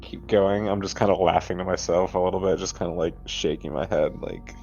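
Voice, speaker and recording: A man's voice: deep voice, fairly even intonation, brisk, a little mellow. Guy playing an rpg, hesitant and bemused, muffled lo-fi audio with music behind. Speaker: tabletop game player. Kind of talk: casual in-character game chat.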